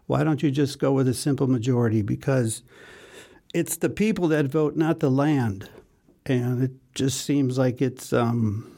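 A man's voice: mid-range voice, creaky, dark tone. A man hosting a casual political discussion over a video call, wry and given to ranting. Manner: wry, self-aware ranting. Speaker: radio show host.

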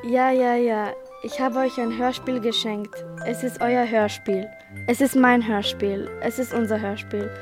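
A woman's voice: fairly high pitch, fairly even intonation, unhurried, close to an everyday voice. A woman playing a smug, gloating famous author who declaims in repeated phrases in a radio play. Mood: triumphant. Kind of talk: school radio play acting. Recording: music bed behind the voice.

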